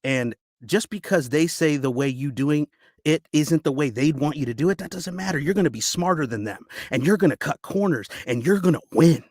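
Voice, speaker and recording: A man's voice: on the high side, lively intonation, a little fast, darkish tone, dry recording, clean studio sound, voice pushed up. An American man performing a dramatic monologue as a scrappy, embittered lawyer urging someone on with emphatic intensity. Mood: fierce, defiant. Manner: urgent, emphatic, building in intensity. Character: scrappy, embittered male lawyer giving a defiant pep talk. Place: home basement sound booth.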